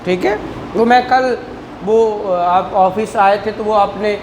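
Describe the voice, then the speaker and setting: A man's voice: sits high, slow. Pakistani man teaching law over background noise. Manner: didactic, explains step by step with check-in questions. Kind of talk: classroom lecture, reading statute and explaining it. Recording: clear background noise in the room.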